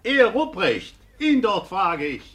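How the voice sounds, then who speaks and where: An actor playing a stern, high-ranking court inspector who questions people in a formal, commanding way in a classic radio play. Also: male voice, huge pitch swings, slow, a bit bright, breathy edge, full character voice. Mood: probing, stern. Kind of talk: classic stage comedy radio play acting.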